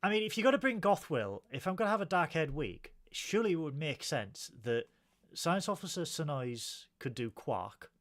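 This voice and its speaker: A man with a northern English accent hosting a podcast, excitable and theatrical, swearing casually. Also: fairly high pitch, normal speed. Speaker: podcast host. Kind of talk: casual chat.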